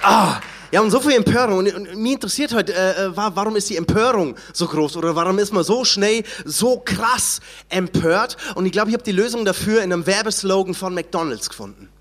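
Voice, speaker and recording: A man's voice: high voice, fairly bright tone, some chatter behind. A Bavarian man from Chiemgau doing live stand-up comedy, reciting a rhymed poem and riffing in a chatty, mock-indignant way. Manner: chatty, mock-indignant, playful drawn-out sounds. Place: live comedy show on stage.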